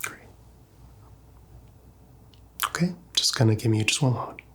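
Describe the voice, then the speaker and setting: A man softly whispering as a calm doctor in an ASMR roleplay, talking gently and reassuringly to the listener as a patient. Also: mid-range voice, measured pace. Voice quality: hushed, whispery, breathy.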